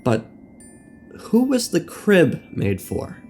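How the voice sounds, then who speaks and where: A man narrating a scripted video essay on game lore, with wry, deadpan asides. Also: high voice, takes it slowly, slight background noise. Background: music.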